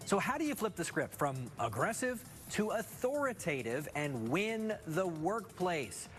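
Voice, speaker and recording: A man hosting a TV interview, reading a scripted intro and asking questions in a polished, measured way. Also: sits high, big pitch swings, faint music behind. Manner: polished, measured anchor delivery.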